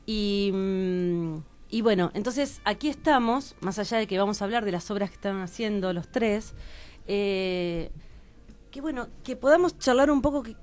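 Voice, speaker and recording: A woman's voice: mid-range voice, darkish tone. A woman with an Argentine accent, a radio columnist introducing guests in an informal, affectionate conversational style.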